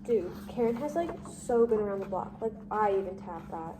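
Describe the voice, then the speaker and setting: A woman's voice: medium pitch, animated, moderate pace, noisy background, natural voice. A woman playing a crude, cocky, mocking teenage jock with smug dude-bro slang in amateur drama. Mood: bragging.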